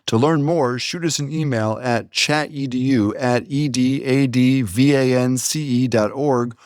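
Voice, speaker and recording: A man's voice: medium pitch, a little slow, darkish tone, dry recording, studio quality. A man co-hosting a podcast, talking in a casual, explanatory and chatty way. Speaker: podcast co-host. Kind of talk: casual conversational podcast talk.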